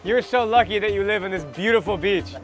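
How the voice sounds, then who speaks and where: A man's voice: high voice, fairly quick. An American man in his thirties hosting a travel vlog in an informal, enthusiastic way. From United States. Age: 30s. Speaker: travel vlogger and host. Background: music.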